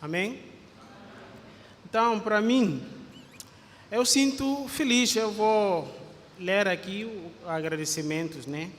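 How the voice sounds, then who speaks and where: A man in his forties from Guinea-Bissau with a Guinea-Bissau Portuguese accent, a visiting pastor greeting a congregation and reading scripture, unhurried and often adding a questioning tag. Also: high voice, a bit bright. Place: church hall. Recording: reverberant church hall with faint ambient noise.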